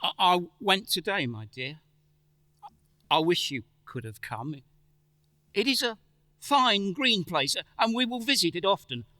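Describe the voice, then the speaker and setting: A man playing a gentle, grieving father in a radio play, halting and stammering as he holds back his sorrow. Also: high voice, big pitch swings, no room sound, full character voice. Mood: brave, sorrowful. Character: gentle grieving father, poor, tender, holding back tears. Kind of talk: theatrical radio play acting.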